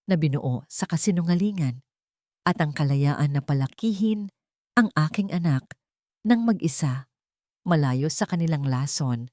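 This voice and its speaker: A woman plays a wronged young wife in an audio drama, testifying with calm, solemn feeling. She has a Filipino accent and a slightly low, dry-recorded voice. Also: no room sound. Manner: calm but emotional, solemn, deliberate.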